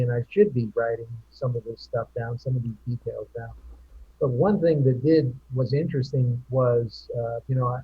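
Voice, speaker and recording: A man's voice: medium pitch, husky, lo-fi sound. A man hosting a casual group chat show, rambling with many restarts. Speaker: podcast host.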